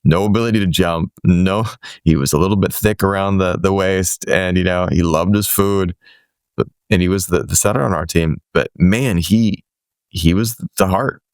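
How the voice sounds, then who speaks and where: A man's voice: low voice, normal speed, clean tone, no echo, studio quality. A middle-aged man from the Boston area, hosting an interview in a loose, rambling way with many restarts and "you know" fillers. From Boston area, USA. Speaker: podcast host. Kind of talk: casual conversational interview.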